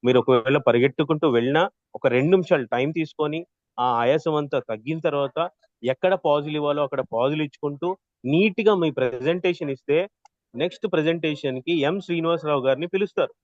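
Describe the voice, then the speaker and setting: A man teaching an interactive online class as a trainer, explaining with examples and often checking in with a quick "right?". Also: on the high side, no room sound, highs cut off. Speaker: voice modulation trainer.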